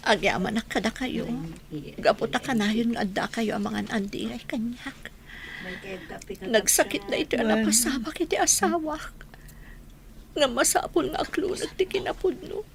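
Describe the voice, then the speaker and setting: Creaky high-voiced grieving widow, acted by a woman. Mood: sad, grateful. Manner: tearful, drawn-out lamenting. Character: grieving widow Aurelia, mourning her husband Marlon. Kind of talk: radio drama acting.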